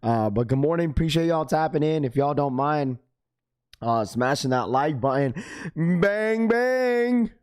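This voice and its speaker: A man hosting a morning livestream, hoarse and losing his voice, greeting viewers in laid-back slang. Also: a little high, lively intonation, a little slow, darkish tone, dry recording, studio quality. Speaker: livestream host. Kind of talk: casual livestream chat. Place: Las Vegas, indoors.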